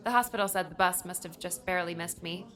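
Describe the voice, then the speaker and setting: A woman's voice: on the low side, fast talker, a bit bright, close to an everyday voice. A woman playing a polite, unassuming young woman in audiobook dialogue, understated in manner. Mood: polite, reassuring.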